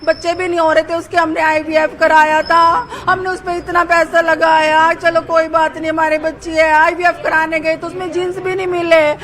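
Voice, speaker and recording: A woman's voice: extremely high pitch, little pitch movement, normal speed, few pauses, a bit bright. An older woman, a bereaved mother, giving a distraught, tearful eyewitness account in an interview.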